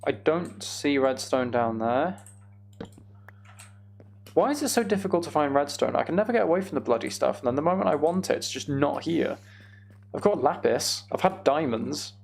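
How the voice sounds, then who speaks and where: A man's voice: a little high, lively intonation, moderate pace, vocal fry. A British man giving casual, wry game commentary, half muttering to himself and half chatting to viewers. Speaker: game streamer.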